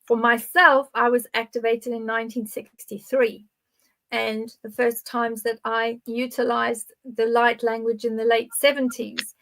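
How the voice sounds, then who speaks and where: A woman's voice: fairly high pitch, a bit bright, low-quality recording. An older woman in her sixties or beyond, talking conversationally to live viewers as a spiritual guide, explaining things in an encouraging way. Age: 60s or older. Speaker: podcast guide speaking on spiritual topics.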